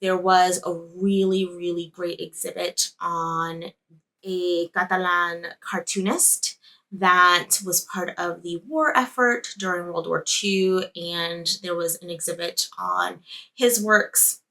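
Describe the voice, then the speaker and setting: Bright-voiced chatty woman podcaster. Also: lowish voice, fairly even intonation, slow pace, vocal fry, poor audio. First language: Spanish. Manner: chatty, rambling, with frequent laughs and self-corrections. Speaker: podcast host. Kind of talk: casual travel recap.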